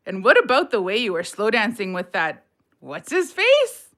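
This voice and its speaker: An actress playing a bawdy, tipsy big sister with teasing, drawn-out delivery in an audio drama. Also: female voice, mid-range voice, huge pitch swings, a bit bright.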